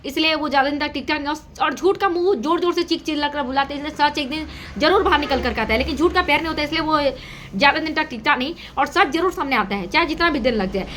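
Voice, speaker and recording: A woman's voice: high voice, quick pace, fairly bright tone, slight background noise. A woman giving casual gossip commentary to her audience, with emphatic, repetitive phrasing. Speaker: reaction video host.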